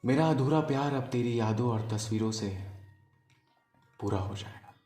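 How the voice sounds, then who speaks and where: A man performing a poetic love monologue as a storyteller, in a wistful, deliberate manner with pauses. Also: medium pitch, warm tone, roomy sound. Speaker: spoken-word storyteller. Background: music.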